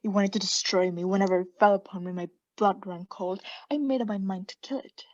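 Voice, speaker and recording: A woman playing a mad condemned murderess in a radio play, intense and halting, often sinking into breathy hushed tones as she insists she is sane. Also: mid-range voice, steady tone, lo-fi sound, lightly acted. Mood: obsessive. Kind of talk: theatrical radio play monologue.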